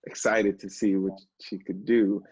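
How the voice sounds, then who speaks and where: Husky, earnest man acted onstage, roomy sound. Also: fairly low pitch, little pitch movement, darkish tone. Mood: somber, wistful. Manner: halting, earnest. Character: earnest, emotional man who knows addiction firsthand. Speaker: apprentice stage actor. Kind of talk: stage play acting. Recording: roomy, dull stage recording.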